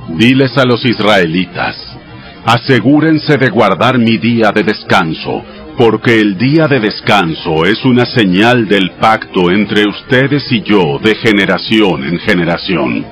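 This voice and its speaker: The Almighty in an audio Bible drama. The voice is deep and grave, speaking with solemn authority as he gives commands. Soft music runs behind it. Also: lively intonation, unhurried. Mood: stern, commanding.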